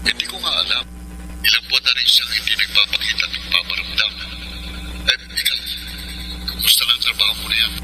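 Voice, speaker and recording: An actor playing a bitter, hard-headed younger brother in a Filipino radio drama. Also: male voice, mid-range voice, brisk, long pauses, noisy background, close to an everyday voice. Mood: subdued. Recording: music bed under the voice.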